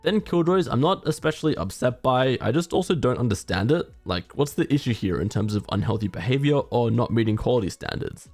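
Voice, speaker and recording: A man giving casual, conversational commentary on a video game in a measured, opinionated way. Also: mid-range voice, animated, a little mellow, dry recording, faint music behind.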